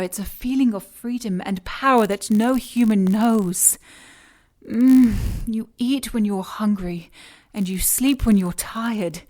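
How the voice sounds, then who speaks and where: A woman's voice: medium pitch, darkish tone, lightly acted. A woman acting a cold, condescending scientist villain. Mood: rapturous. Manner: composed, clinical, condescending. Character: cold, obsessed female scientist and jaguar shapeshifter villain. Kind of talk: audio drama dialogue.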